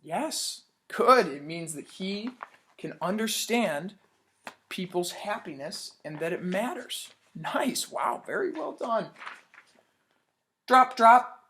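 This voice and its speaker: A man's voice: sits high, big pitch swings, slow pace, sharp tone, a little hiss or hum. A man teaching as he reads a picture book aloud to children, stopping to ask questions and praise their answers. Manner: stops to quiz listeners and praise their answers. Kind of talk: read-aloud storytime for children.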